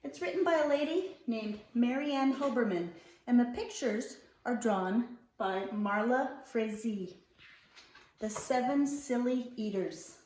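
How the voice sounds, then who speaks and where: Lady reading a kids book, really singsong and unhurried, echoey room, bit far from mic. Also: fairly high pitch, slight background noise. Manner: expressive, deliberate phrasing with drawn-out key words. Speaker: picture-book reader. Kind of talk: read-aloud storytelling for young children. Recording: roomy echo, distant mic.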